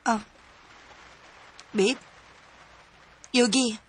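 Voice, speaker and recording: A woman's voice: fairly high pitch, steady tone, very slow, a little hiss or hum. A woman with a Filipino accent acting an affectionate, attentive girlfriend in a radio drama. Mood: sweet, concerned.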